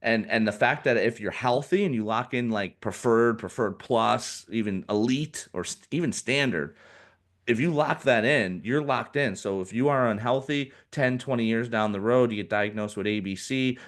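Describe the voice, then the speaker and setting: This is a man in his forties, a financial advisor talking on a casual podcast. His voice sits at an ordinary middle pitch. He talks with energy and slips into quick impressions of the people he quotes. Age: 40s. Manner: animated, acts out quoted people in put-on voices.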